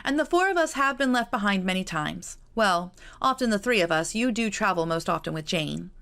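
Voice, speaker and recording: A woman's voice: medium pitch, expressive, a little fast, creaky, fairly bright tone, clear, resonant tone. A woman voicing an eager, earnest teenage younger sister in a Regency audiobook. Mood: matter-of-fact. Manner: jubilant, earnest, confiding. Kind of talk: audiobook character dialogue.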